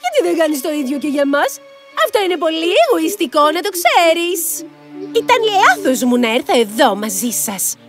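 A woman's voice: sits high, very animated, music in the background. A woman voicing a spoiled, jealous young princess in a dubbed cartoon fairy tale, whiny and accusing. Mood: sulky. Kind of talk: Greek cartoon dub acting.

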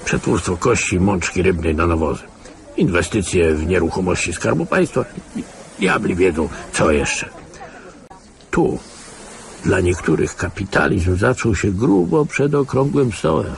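A man's voice: sits low, animated, a little slow, gravelly, noisy background, exaggerated voice. A man playing a world-weary, cynical old retired security officer in a radio play, sardonic and patronising. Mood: recounting, sardonic. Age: older. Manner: sardonic, patronising, chuckling asides. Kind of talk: Polish radio play acting.

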